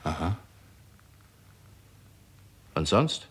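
A man's voice: drawn-out words, fairly bright tone. A man acting as a jovial, needling tavern character with a theatrical, teasing delivery in a 1960s German radio crime play. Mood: sly, probing.

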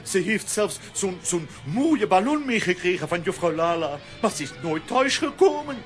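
An actor playing an anxious fairground clown and father in an animated children's radio play. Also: high-pitched, moderate pace, faint music behind. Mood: worried.

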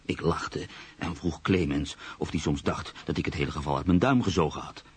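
An actor playing a reflective, skeptical journalist in a radio play, telling his own story in a measured, literary manner. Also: a bass voice, slightly rough, heavily acted. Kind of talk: radio play, first-person narration and dialogue.

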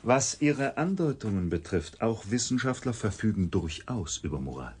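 A man's voice: on the low side, very animated, normal speed, darkish tone, breathy edge. A man playing a proud, defensive geneticist in a radio drama, formal and assertive in his speech. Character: defensive, proud male genetic scientist, stiff and clipped.

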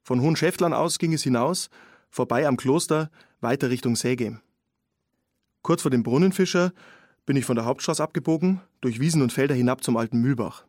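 An actor playing a sober, observant man in a radio play, giving a detailed account in clipped, measured phrases. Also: mid-range voice, a little mellow, dry recording, clean studio sound, lightly acted. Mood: calm recollection. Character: man recounting finding a drowned woman, sober and observant. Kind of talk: radio play testimony narration.

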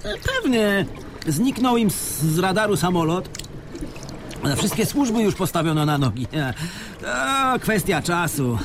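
An offhand, sardonic man floating in the sea after a crash, in a radio drama. His fairly high voice swings widely in pitch as he mutters with stubborn self-assurance. Loud water sloshes around him. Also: very animated, unhurried, background noise, full character voice. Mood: breezily confident. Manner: sarcastic, muttering, colloquial. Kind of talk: Polish radio play dialogue. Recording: loud splashing water sound effects behind. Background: sea water sounds.

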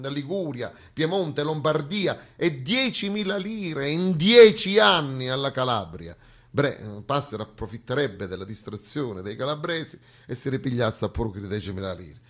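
Raspy guy doing a theatre monologue, sarcastic, emphatic, kind of talking straight at you. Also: on the high side, fairly bright tone. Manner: sarcastic, emphatic, with rhetorical questions and asides. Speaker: stage actor performing a monologue. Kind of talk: theatrical satirical monologue, conversational and rhetorical.

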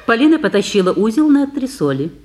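Женщина-диктор с выразительной интонацией. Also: female voice, a little high, very animated, fast talker, darkish tone. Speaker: narrator. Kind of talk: scripted narration of a radio stage play.

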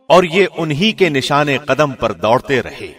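A man reading a scripture translation as scripted narration, in a measured, formal delivery. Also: a little high, lively intonation, a bit bright. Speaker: translation reader, radio host. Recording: studio voice with long reverb.

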